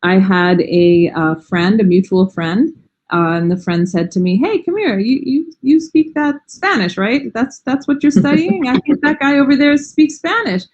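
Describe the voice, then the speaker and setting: A woman's voice: fairly high pitch, animated, normal speed, slightly rough, highs cut off. An American woman in her forties, a language teacher, chatting enthusiastically in an interview over a video call, with frequent fillers. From United States. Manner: warm, enthusiastic, frequent "um" and "you know". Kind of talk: casual interview chat.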